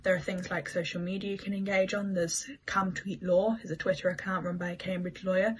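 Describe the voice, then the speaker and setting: A woman's voice: fairly low pitch, flat delivery, brisk, slight background noise. A young woman, a student giving advice to camera in a room at home. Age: young adult.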